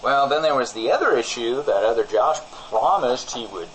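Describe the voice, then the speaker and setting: A man's voice: mid-range voice, animated, moderate pace, a little hiss or hum, poor audio. Rambling mock-earnest man, comedy co-host. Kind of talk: improvised comic banter.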